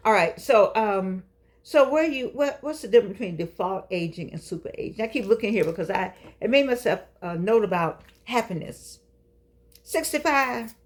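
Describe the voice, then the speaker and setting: An older woman hosting her own show, talking in a playful, preachy, coaxing way and drawing out phrases for effect. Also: mid-range voice. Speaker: host. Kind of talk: casual talk show monologue. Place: home studio with keyboard.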